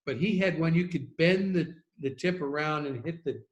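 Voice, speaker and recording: An older man chatting casually and reminiscing in an unhurried, rambling anecdotal way. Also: fairly high pitch, muffled recording. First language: English. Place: online video call.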